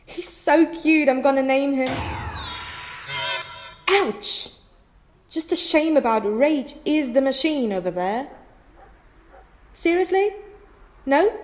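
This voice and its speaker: A woman playing a bored, sarcastic young ghost granddaughter in an audio drama, flippant and teasing. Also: high-pitched. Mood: playful.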